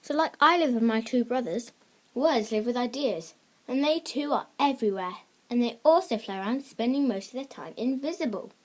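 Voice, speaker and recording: High-voiced woman teaching children. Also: moderate pace. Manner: simple, encouraging address to young listeners. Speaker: creative writing teacher and video host. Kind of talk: scripted instructional narration for children.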